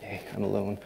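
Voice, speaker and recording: A man in his thirties whose first language is Serbo-Croatian, giving a conference talk from a large stage in a halting way, full of ums and self-deprecating asides. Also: mid-range voice, creaky, a little mellow, husky. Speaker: conference speaker.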